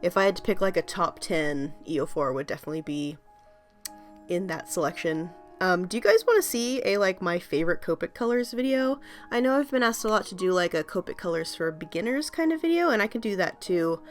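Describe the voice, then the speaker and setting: A woman talks through her art video in a loose, rambling way, full of ums and small laughs. Her voice sits a little low and is still slightly scratchy from a recent cold. Soft music plays quietly beneath her. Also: animated, fairly quick. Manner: chatty, rambling, laughs often, many ums. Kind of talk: casual voice-over commentary.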